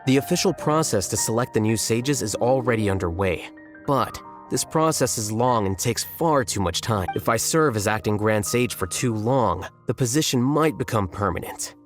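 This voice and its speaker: An actor playing an aloof, rational young scholar in a video game, speaking in a precise, detached deadpan. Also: male voice, mid-range voice, normal speed, faint music behind, lightly acted. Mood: calm, detached. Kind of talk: animated video game voice acting.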